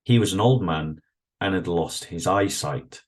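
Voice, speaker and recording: Deep-voiced man reading and commenting. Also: unhurried. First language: English. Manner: thoughtful, hesitant, many fillers and restarts. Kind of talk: reflective solo commentary with read-aloud passages.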